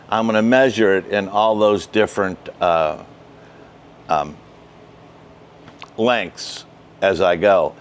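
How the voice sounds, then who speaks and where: A man's voice: lowish voice, slight background noise. An older man explaining things casually and step by step to camera, outdoors on a lapel mic. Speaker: ham radio vlog host. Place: outdoors in a suburban backyard by patio and shed. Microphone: wireless lapel mic clipped to shirt.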